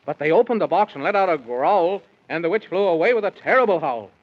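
A man's voice: high-pitched, animated, fast talker. A man narrating a children's story in rhyme with theatrical sing-song delivery, in the style of 1930s radio. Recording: dull, muffled 1930s radio recording.

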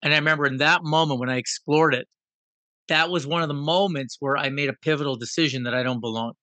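Bright-voiced man telling a personal story. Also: a little high, fast, dry recording. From Guyana. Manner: animated, reflective, self-deprecating. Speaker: guest.

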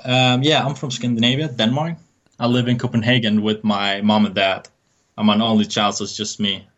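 A young man from Iran, low-pitched and flat, picking his words slowly with lots of ums. His voice comes through a dull, lo-fi line, like a remote call. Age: 20s. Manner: hesitant, halting, many ums. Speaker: guest trader. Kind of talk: interview answers.

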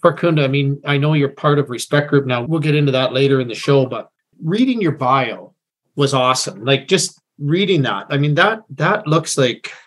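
Man, podcast host, loose casual chat, fillers, restarts, mid-pitched voice. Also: expressive, normal speed. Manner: rambling, restarts phrases, many fillers.

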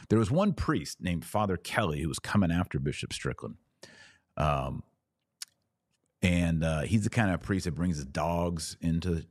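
Guy doing a casual live podcast, low voice, real dry close sound, talks right at the listeners. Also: a little mellow, no room sound. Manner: conversational, direct, urging listeners. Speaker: podcast host. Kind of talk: casual live commentary, reading and answering viewer comments.

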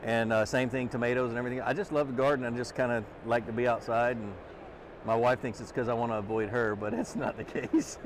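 A middle-aged man with a loud voice, talking earnestly as a podcast guest and repeating words as he thinks. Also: mid-range voice, steady tone, fast talker, a little hiss or hum. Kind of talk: casual interview conversation. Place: trade show booth, outdoors.

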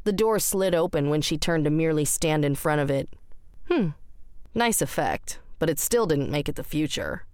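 A woman's voice: sits low, animated, normal speed, darkish tone, no room sound. A woman reading scripted story narration for audio fiction. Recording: roomless dry studio, faint music underneath. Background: faint music.

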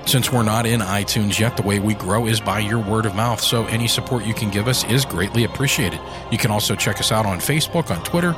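A man's voice: on the low side, a little fast, few pauses. Male podcast host over music. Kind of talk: scripted podcast outro, promotional announcements.

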